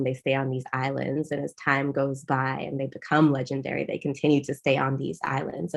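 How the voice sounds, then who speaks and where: A woman's voice: low voice, vocal fry. A woman songwriter from Zimbabwe, talking thoughtfully and reflectively in a conversational interview.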